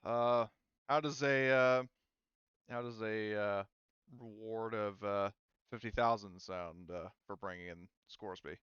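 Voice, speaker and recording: A man's voice: on the low side, fairly even intonation, a little slow, a bit bright, clean tone, dry recording, muffled recording. A man voicing a hesitant, bureaucratic prison officer in a tabletop game, stammering and noncommittal in official phrasing. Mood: hesitant, businesslike.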